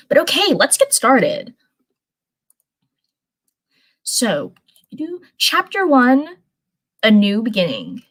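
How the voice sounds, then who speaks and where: A woman hosting a live reading stream, moving between casual, chatty talk and storybook narration. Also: mid-range voice. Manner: chatty, animated.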